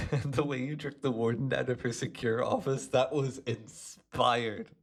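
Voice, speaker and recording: A man voicing a smug, playful hypnotist supervillain in an ASMR roleplay, gloating and teasing the listener in a gravelly voice. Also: mid-range voice, steady tone, unhurried. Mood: teasing, pleased. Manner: gloating, teasing, theatrical, swooping between murmurs and exclamations.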